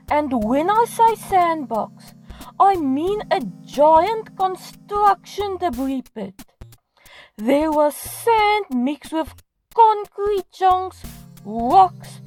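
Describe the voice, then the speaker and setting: A woman's voice: very high-pitched, a little mellow, rough recording. A woman giving scripted comedic storytime narration in a dramatic, self-mocking manner. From South Africa. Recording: music playing behind the voice. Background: music.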